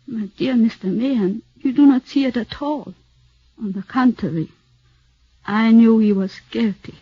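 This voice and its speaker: Cold, cunning foreign actress. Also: female voice, a little high, unhurried, husky, no room sound. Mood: triumphant, intense. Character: cunning, cold, devoted female former actress. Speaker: witness in murder trial. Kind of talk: 1940s radio acting. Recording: dull lo-fi 1940s radio broadcast.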